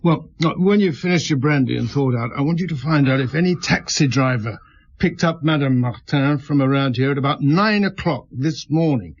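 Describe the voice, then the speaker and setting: A man acts a middle-aged chief inspector in a radio drama. He is calm and in command, with a mid-pitched voice. The recording is a little dull. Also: moderate pace, lightly acted. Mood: calm, authoritative.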